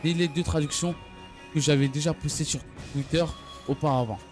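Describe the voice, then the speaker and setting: A man giving an unscripted gaming news recap, speaking haltingly and often stumbling or repeating his phrases. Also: on the high side, fairly even intonation, a little mellow. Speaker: gaming video creator. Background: background music.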